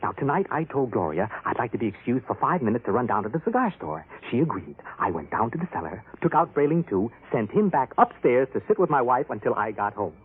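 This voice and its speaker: A scheming husband in an old radio drama, his pitch swinging wildly as he talks. He is jovial and smug, turning to bluster, with a slightly rough voice on a narrow, tinny recording. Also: sing-song, quick pace, hardly any pauses. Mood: smug, conspiratorial. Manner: jovial, smooth-talking, blustering when challenged. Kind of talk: 1950s radio drama acting.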